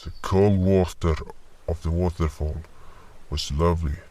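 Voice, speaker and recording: A man playing a weary, curious monster hunter, narrating his tale in a measured, deliberate way in an audio drama. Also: deep voice, steady tone, mellow. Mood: reflective. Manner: measured, deliberate, pausing mid-sentence. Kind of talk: slow scripted first-person fantasy narration.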